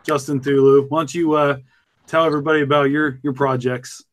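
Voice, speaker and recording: A man co-hosting a podcast, chatting casually with informal, halting phrasing. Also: fairly high pitch, flat delivery. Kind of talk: casual group chat.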